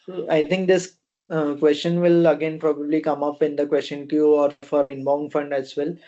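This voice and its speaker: A man with an Indian accent giving unscripted answers as a company officer on a conference call, phrasing things haltingly. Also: fairly high pitch, little pitch movement, warm tone. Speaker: fund company officer answering investor questions. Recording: dull, lo-fi conference call audio.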